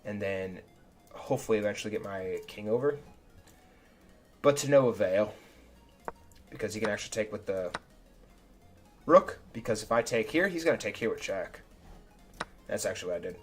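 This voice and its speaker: A young man, a chess streamer, talking casually and self-critically through his own game, his voice a little raspy. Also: fairly low pitch, animated, moderate pace, pauses often. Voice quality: slightly raspy. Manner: chatty and self-critical, thinking aloud move by move. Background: faint background music.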